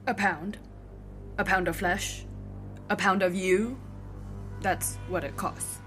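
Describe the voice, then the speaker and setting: An actress playing a bored, blunt teenage girl who answers with flat sarcasm. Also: mid-range voice, slow, faint music behind. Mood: deadpan. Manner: deadpan, sarcastic, dismissive.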